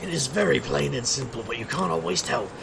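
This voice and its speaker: A man's voice: medium pitch, animated, a little fast, vocal fry, background noise. An actor playing a cocky, wisecracking street magician and private eye in an audio drama, with a showman's wry, theatrical patter. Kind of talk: scripted audio drama acting.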